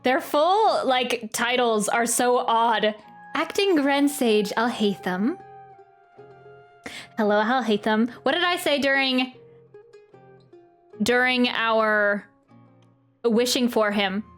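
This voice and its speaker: Playful high-voiced woman streaming. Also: animated, slow, pauses often, fairly bright tone. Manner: playful, informal, slangy. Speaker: streamer playing and reacting to a game. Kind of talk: casual live gameplay commentary. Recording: faint game music behind.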